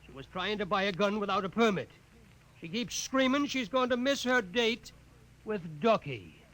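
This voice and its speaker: Blustering police sergeant, high-pitched and forceful, vintage radio drama. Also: male voice, lively intonation, moderate pace. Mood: gruffly impatient. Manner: barking, tough-guy slang. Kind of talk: 1950s radio acting.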